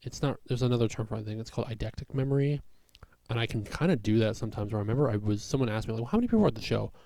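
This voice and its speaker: Rambling raspy-voiced young man. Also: medium pitch, lively intonation, rapid-fire, dark tone, no echo. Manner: rambling, full of fillers and restarts. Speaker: comedian. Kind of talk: rambling personal vlog.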